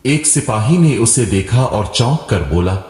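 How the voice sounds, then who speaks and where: A man's voice: medium pitch, animated, unhurried, a little mellow. A man narrating a scripted moral story as a storyteller. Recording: roomy echo with long reverb, music behind. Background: music.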